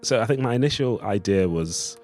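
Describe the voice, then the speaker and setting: A man's voice: lowish voice, expressive, fairly quick, a little mellow, faint music behind. A man giving a thoughtful, discursive interview as a writer, speaking in a reflective conversational way. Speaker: writer of a radio play, interviewee.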